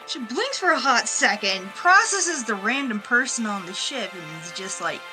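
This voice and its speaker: A woman's voice: on the high side, big pitch swings, moderate pace, over music, poor audio. A young adult woman playing a tabletop game, casually improvising narration of what her character does.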